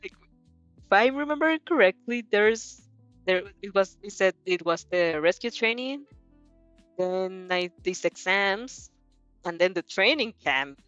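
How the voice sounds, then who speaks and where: A woman chatting casually as a host, reacting to a story in a giggly, excitable, rambling way. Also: medium pitch, unhurried, dry recording, lo-fi sound, soft background music. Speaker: host reading fan fiction aloud and reacting. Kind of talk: casual reaction stream chat.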